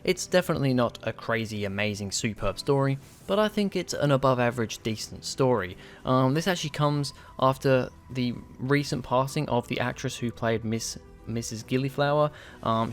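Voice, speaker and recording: A young man from West Yorkshire casually reviewing and ranking episodes, with frequent ums and restarts. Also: medium pitch. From West Yorkshire, England. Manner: chatty, lots of ums and restarts. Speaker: video host ranking episodes.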